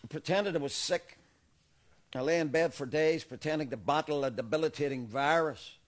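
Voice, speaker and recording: Man doing older Belfast grandfather, Northern Irish accent, deadpan, paranoid, fairly high voice. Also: normal speed, natural voice. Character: paranoid bigoted old grandfather, defensive and unhinged. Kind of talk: comedy sketch acting.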